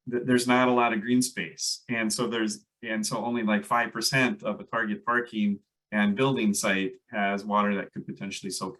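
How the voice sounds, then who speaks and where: Man with a Minnesota accent, bright voice. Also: on the low side, recorded from a distance, rough recording. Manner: loose, hesitant, many fillers. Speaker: landscape ecologist presenter. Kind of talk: online workshop presentation. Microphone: video call.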